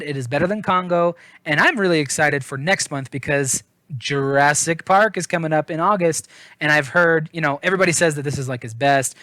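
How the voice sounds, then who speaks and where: A man's voice: fairly high pitch, a bit bright, no echo. A man giving a casual book review in an informal, chatty, self-deprecating way.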